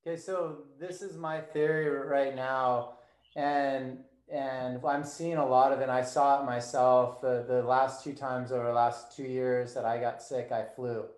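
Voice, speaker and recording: A man's voice: mid-range voice, level tone, measured pace, a little mellow, reverberant. A man being interviewed as a guest, explaining his theories step by step in casual talk. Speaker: guest, performance coach.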